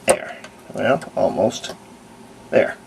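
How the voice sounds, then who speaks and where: Creaky-voiced man casually thinking aloud. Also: on the low side, very slow, a little mellow, slight background noise. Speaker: hobbyist restorer of antique radios, presenter. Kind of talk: casual hands-on demonstration, unscripted running commentary.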